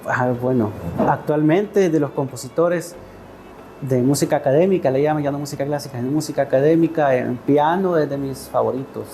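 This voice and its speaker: A man's voice: on the high side, brisk, dark tone, faint music behind. A man with a Salvadoran accent giving thoughtful, hesitant interview answers as a writer, often ending phrases with questioning tags. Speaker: interview guest, poet and writer.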